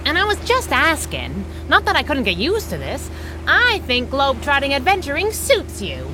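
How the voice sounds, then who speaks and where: An actress playing a sassy, fearless adventuress in a radio serial, pert and teasing. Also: female voice, sits high, huge pitch swings, moderate pace. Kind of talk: 1930s-style radio adventure acting. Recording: noisy boat ambience.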